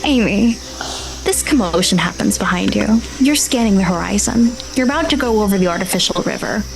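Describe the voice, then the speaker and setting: A woman game master improvising vivid, deliberate narration for a tabletop role-playing game. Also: medium pitch, animated, music in the background, poor audio. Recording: clear ambient noise with insect-like sounds.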